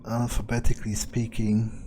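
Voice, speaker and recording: A man's voice: fairly low pitch, monotone, moderate pace, noisy background. A man thinking aloud in character while playing a video game, musing and casually profane. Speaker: let's-play gamer speaking in character.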